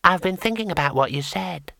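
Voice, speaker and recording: A small, gentle imp with a high, odd, slightly unreal voice that explains things slowly and patiently, as if stating plain facts, voiced by a man reading a storybook. The sound is bright and dry, close to the mic. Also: expressive, gravelly, dry recording, full character voice.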